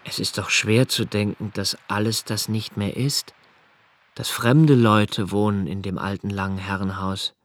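An actor playing a brooding, anxious man of twenty-eight in a radio play, narrating his notebooks in a measured, reflective way with deliberate pauses. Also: on the low side, fairly even intonation, lightly acted. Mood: weary, melancholic. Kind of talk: literary radio play monologue, first-person narration.

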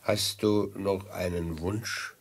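Genial, slow-talking, husky executioner. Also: male voice, sits low, fairly even intonation, exaggerated voice. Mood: genial, content. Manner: amiable, unhurried, musing. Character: genial, chatty executioner with chilling calm. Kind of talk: slow German radio play acting.

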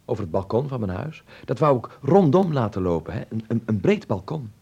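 An actor playing a sick, bedridden teenage son, witty and cheeky, in a Dutch radio drama. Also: male voice, mid-range voice, big pitch swings, a little fast, vocal fry, warm tone, husky, muffled recording, full character voice. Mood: earnest.

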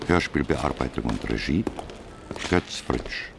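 Very deep, slow male announcer. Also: lively intonation, vocal fry, over music. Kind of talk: scripted title announcement.

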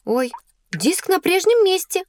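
A curious young pupil in a children's audio drama, with a high, animated voice. The child is earnest and inquisitive, thinking things through aloud. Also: female voice, measured pace, slight background noise, heavily acted. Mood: surprised. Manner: inquisitive, careful reasoning aloud.

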